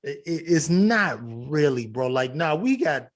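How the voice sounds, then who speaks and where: A man's voice: fairly high pitch, sing-song, a little slow. A man from Chicago's South Side with a Chicago accent, a guest comedian chatting on a podcast in a loose, profane way full of fillers. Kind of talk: casual podcast chat.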